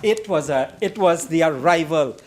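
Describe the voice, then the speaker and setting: A man's voice: on the high side, lively intonation, normal speed. A Trinidadian man giving a formal parliamentary debate speech as an opposition member, emphatic and oratorical, repeating phrases for effect. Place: parliament chamber.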